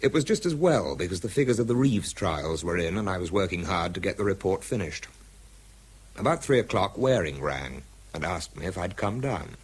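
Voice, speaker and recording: An actor playing a blunt, stubborn, dryly sardonic British scientist in a radio drama, who also narrates it. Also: male voice, sits low, lively intonation, normal speed, husky. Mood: dry narration. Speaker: lead actor and first-person narrator.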